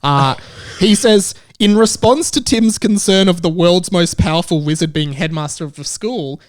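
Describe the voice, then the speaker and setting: A man's voice: high-pitched, normal speed. A man hosting a podcast in casual, comedic chat, informal and chummy, often laughing. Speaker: podcast host.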